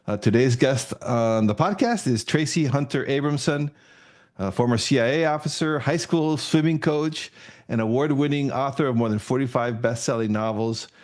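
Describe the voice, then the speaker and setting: A man's voice: medium pitch, normal speed, darkish tone. Friendly man hosting a podcast. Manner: friendly, many uhs and restarts, laughs often. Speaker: podcast host. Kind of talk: casual interview hosting.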